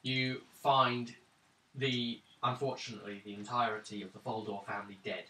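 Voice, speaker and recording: A man running a tabletop role-playing game as game master, describing scenes and calling for rolls in halting phrases. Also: fairly low pitch, fairly even intonation, bright, forceful tone. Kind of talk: improvised tabletop game narration and refereeing. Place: indoors around a gaming table. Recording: roomy, distant tabletop recording with background noise.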